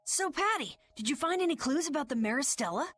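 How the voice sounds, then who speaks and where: A woman voicing an earnest, excitable young boy in an anime video game dub. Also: sits high, fast talker, soft background music. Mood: nervous, earnest. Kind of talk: English-dubbed anime video game acting.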